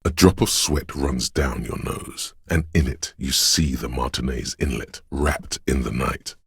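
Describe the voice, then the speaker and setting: An actor playing a brooding, all-knowing inner voice in a video game, narrating slowly and poetically in the second person with ominous solemnity. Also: male voice, full character voice. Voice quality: gravelly, husky bass. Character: brooding, omniscient inner voice narrating a city's shivers.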